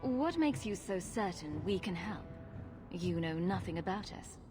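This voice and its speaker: A woman's voice: lowish voice, animated, a little slow. An actress playing a guarded young adventurer woman in a video game, questioning in a cool, dry manner. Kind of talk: video game cutscene dialogue. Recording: music behind the voice. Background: background music.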